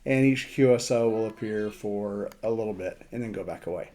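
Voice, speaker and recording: A man giving a casual screen walkthrough as a radio hobbyist, thinking aloud in a halting way. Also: lowish voice, mellow. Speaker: amateur radio operator presenting a map demo.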